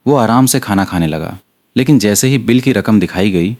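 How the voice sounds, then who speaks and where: A man's voice: on the low side, expressive, moderate pace, a little mellow. A man reading a scripted story aloud as an audiobook narrator.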